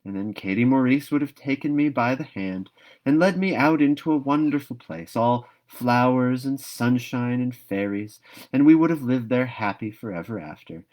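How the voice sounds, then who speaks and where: A man's voice: medium pitch, lively intonation, normal speed, darkish tone, voice pushed up, lightly acted, rough recording. A man voicing a dreamy, chattering young orphan girl in a storybook read-aloud, gushing and wistful. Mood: dreamy, eager.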